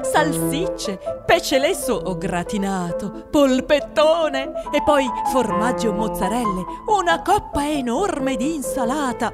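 Slow, dramatic woman acting a wistful ex-fat storyteller. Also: a little high. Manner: deliberate, dramatic delivery with drawn-out lists. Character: wistful formerly fat grown-up recalling a greedy, mother-adored childhood. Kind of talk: theatrical radio monologue in Italian.

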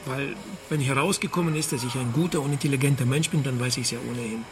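A sardonic private detective played by a male actor in a radio drama, middle-pitched and deadpan. He talks with dry irony and keeps unflappable, over faint background noise. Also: fast, natural voice. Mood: wry. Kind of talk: German radio drama dialogue and narration.

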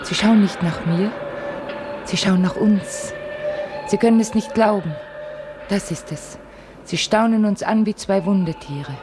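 A woman's voice: mid-range voice, unhurried, long pauses, background noise, natural voice. An actress in a radio drama playing an enigmatic, dreamy young Frenchwoman, speaking in an incantatory, intense way. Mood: awed. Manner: incantatory, repetitive, at times whispering. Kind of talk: literary radio drama acting.

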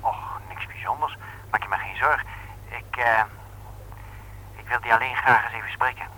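Casting: male actor as worried brother, forceful bright tone, halting delivery, vintage radio telephone scene, slight noise. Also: medium pitch, lightly acted, low-quality recording. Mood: hesitant. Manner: hesitant, halting. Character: hesitant, worried brother phoning from work. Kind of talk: 1960s Dutch radio serial acting.

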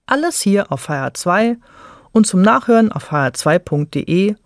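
An androgynous-sounding radio announcer speaks standard German at a medium pitch. The delivery is scripted, measured and clearly articulated. The sound is dry, with hardly any room around the voice. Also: very animated, dry recording.

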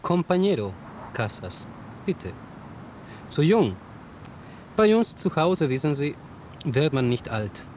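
A man's voice: on the high side, very animated, slow pace, long pauses, darkish tone, slight background noise. A man playing a young, fatalistic Bolivian revolutionary functionary in a radio drama. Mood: earnest, fatalistic.

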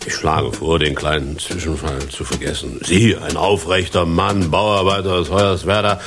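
An actor playing an officious, controlling deputy hotel manager in a radio play, speaking formally and pompously. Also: male voice, sits low, steady tone, moderate pace, noisy background. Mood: officious, conciliatory. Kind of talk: German radio play acting. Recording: studio radio play with music behind.

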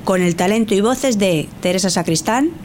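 A woman's voice: mid-range voice, moderate pace, a little mellow, a little hiss or hum. A woman announcer presenting a radio fiction piece in a scripted style.

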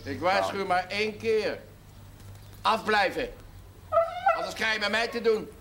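A menacing father who gives slow warnings. His voice is bright and tense, with faint noise behind it. The sound comes from a 1970s TV drama. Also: sits high, full character voice.

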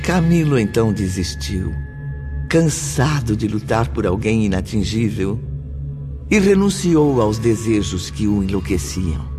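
A man's voice: fairly low pitch, very animated, unhurried. A man narrating a radio drama in a dramatic, scripted style. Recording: loud dramatic music underneath.

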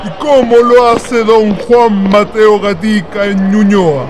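A man playing a dreamy, enthusiastic young writer in a radio drama, with measured literary phrasing. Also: high voice, steady tone. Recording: clear ambient noise behind.

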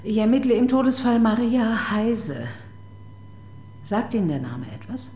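An actress playing a sharp, sardonic homicide detective in a radio crime drama, brisk, dry and probing. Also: female voice, a little high, very animated. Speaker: detective chief inspector.

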